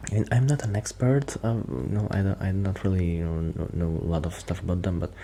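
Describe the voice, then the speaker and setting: Male performer as quiet, bashful musician friend for ASMR roleplay: hushed, hesitant, very deep with vocal fry. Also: fairly even intonation, fairly quick. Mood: shy, curious. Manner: hesitant, rambling, self-interrupting. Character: shy, quiet young male musician friend who rambles about music. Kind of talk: soft-spoken ASMR roleplay with whispering.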